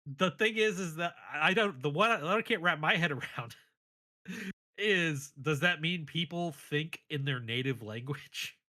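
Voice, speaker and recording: Man, podcast co-host, curious, questioning, very bright tone. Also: fairly high pitch, measured pace. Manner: curious, asks questions, laughs mid-sentence. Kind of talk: casual podcast chat.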